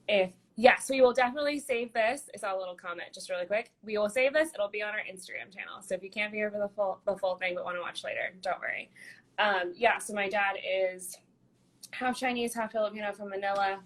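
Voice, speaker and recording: Young american woman hosting a live chat, bubbly, laughs a lot, lots of um's. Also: mid-range voice. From United States. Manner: bubbly, laughs often, many fillers. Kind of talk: casual chat on a livestream. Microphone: phone or laptop mic on a video livestream.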